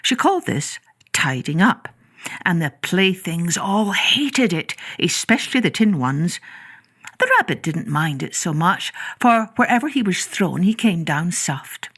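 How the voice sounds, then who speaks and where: A woman narrating a children's story from a script, in a gentle, expressive storytelling manner with hushed phrase endings. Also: lowish voice, unhurried, slightly rough.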